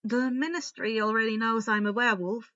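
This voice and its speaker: A woman voicing a protective, gentle male werewolf in a storybook reading, earnest and reassuring. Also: a little high, steady tone, a little fast, dull audio, close to an everyday voice.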